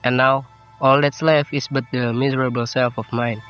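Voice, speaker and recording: Teenage male vlogger, Indonesian accent, non-native English, reflective and self-deprecating, slightly lo-fi voice-over with clear music underneath. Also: medium pitch, little pitch movement, moderate pace. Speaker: YouTuber. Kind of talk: personal vlog narration. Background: game soundtrack music.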